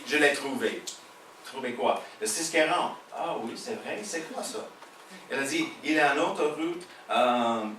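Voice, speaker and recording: A man with an American accent mixed with a Québécois French one, a pastor preaching a sermon in halting French with many fillers and light jokes. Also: mid-range voice, a bit bright, a little hiss or hum. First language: English. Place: church hall. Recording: echoey hall heard from a distance.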